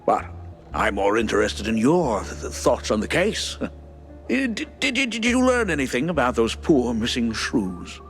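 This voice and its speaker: Stammering cartoon mouse politician. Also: male voice, mid-range voice, huge pitch swings, moderate pace, faint music behind. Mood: flustered. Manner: fussy, polite, hesitant. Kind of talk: animated game voice acting.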